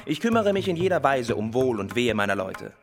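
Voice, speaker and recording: An actor playing a young Indian prince in a radio play, educated and courteous, speaking with measured, proud eloquence. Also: male voice, medium pitch, big pitch swings, over music. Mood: thoughtful, courteous. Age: young adult. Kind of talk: German radio play acting.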